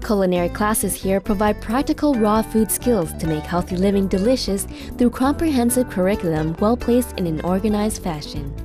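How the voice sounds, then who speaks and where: A woman's voice: mid-range voice, normal speed, few pauses. A woman reading scripted documentary narration. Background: music behind the voice.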